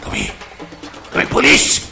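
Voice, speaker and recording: A man voicing a desperate, guilt-ridden drunkard on the run in a suspense story, hoarse and breathy, repeating his words haltingly. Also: reverberant, very noisy, natural voice. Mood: frantic, suspicious. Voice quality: hoarse, broken, breathy whispering voice. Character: crazed, guilt-ridden fugitive drunkard father, desperate for liquor.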